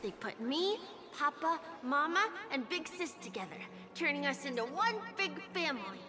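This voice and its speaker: A woman's voice: high voice, sing-song, moderate pace. A woman voicing a cheerful, innocent little child in an anime game, sweet and earnest. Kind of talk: video game voice acting. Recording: game music behind.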